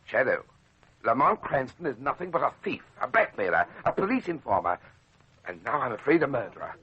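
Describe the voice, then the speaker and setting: Husky scheming radio gang boss. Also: male voice, medium pitch, big pitch swings, fairly quick. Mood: earnest, insinuating. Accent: Australian. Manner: emphatic, insinuating, melodramatic. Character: scheming smooth-talking male gang boss, gloating and devious. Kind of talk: old radio drama acting. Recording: muffled lo-fi vintage radio recording.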